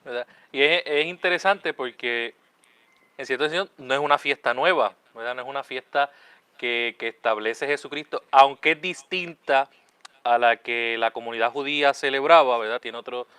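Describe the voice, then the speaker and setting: A man's voice: medium pitch, normal speed, sharp tone, clean tone, no echo. A man hosting a religious talk show, speaking in a friendly way and often adding "¿verdad?". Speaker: host, Capuchin friar.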